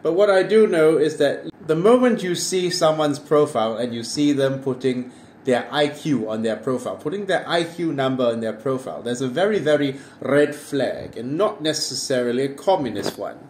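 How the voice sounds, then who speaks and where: A man's voice: mid-range voice, moderate pace, a little mellow, slight background noise. A man, talking to camera in a casual vlog monologue, full of wry, self-mocking jokes. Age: 30s. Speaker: political commentary vlogger.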